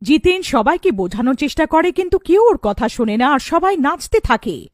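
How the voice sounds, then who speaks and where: High-pitched, animated woman storyteller. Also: moderate pace, no echo, studio quality. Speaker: narrator. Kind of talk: scripted cartoon story narration.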